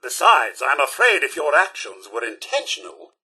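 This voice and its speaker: Game companion: loyal, well-mannered robot butler, British accent, bright edge, slightly rough tone, formal and theatrical. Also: male voice, a little high, very animated, normal speed, exaggerated voice. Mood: polite, flustered. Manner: prim, formal, theatrical enunciation. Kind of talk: scripted video game voice lines.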